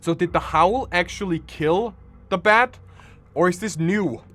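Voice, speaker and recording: A young man doing casual live reaction commentary as a streamer, animated, exclamatory and rambling. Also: high-pitched, a little slow, faint music behind.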